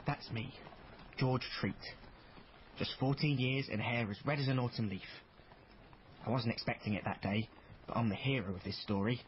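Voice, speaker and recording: A man's voice: medium pitch, fairly even intonation, normal speed, pauses often, dull audio, slight background noise, lightly acted. An actor playing a proud, eager fourteen-year-old boy from travelling players, narrating a radio drama in a chatty, theatrical way. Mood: confiding.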